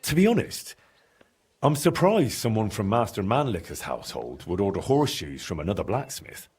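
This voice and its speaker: A man's voice: lowish voice, sing-song, moderate pace, darkish tone, slightly rough. A man playing an earnest, polite young medieval master blacksmith in a video game. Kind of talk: naturalistic game dialogue.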